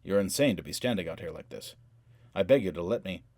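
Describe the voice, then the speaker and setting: A man playing a blunt, defiant, hot-tempered man in an audiobook, with terse, forceful, tense delivery. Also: low voice, animated, fast, no room sound. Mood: pleading, stubborn.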